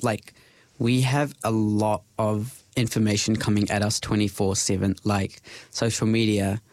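A young man with a New Zealand accent speaks in a low, even voice, earnest and searching for words as he goes, filling pauses with "like". The sound is close and dry, with no room around it. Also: no room sound. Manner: earnest, thinks aloud with many "like" fillers. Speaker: podcast guest. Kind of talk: casual podcast interview.

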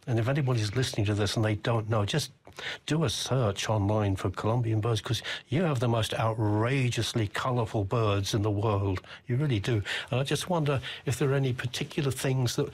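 A man's voice: mid-range voice, normal speed, breathy edge. An older man from the UK, interviewing a guest with rambling, reflective questions. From United Kingdom. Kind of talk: conversational interview.

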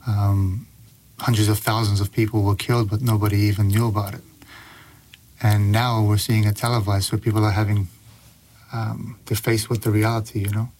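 A young man with a fairly low voice, chatting easily as a guest. He talks in a relaxed, loose way, full of fillers and restarts. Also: normal speed, low-quality recording. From Pakistan. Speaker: guest author. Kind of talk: casual interview talk.

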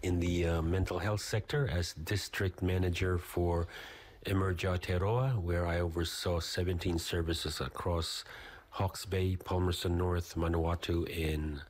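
Interviewee: man, 50s, from the Philippines, very low husky voice, relaxed, talks with hesitations. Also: measured pace. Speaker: interviewee, residential manager. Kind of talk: interview answers.

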